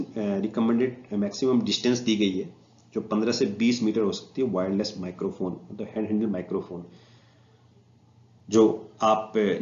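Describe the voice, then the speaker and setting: Low-voiced Indian man lecturing, echoey recording. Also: darkish tone. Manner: mixes Hindi with English technical terms. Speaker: instructor. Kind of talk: slide presentation lecture, explaining informally. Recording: echoey room, muffled lo-fi, faint noise.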